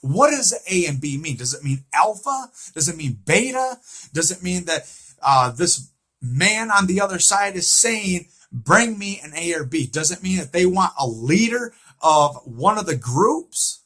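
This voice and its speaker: A man's voice: a little high, sing-song, a little slow, pushed and bright, husky. A man giving an informal recap and theory commentary on a show, emphatic and repetitive, often posing rhetorical questions. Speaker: fan commentator on a TV series.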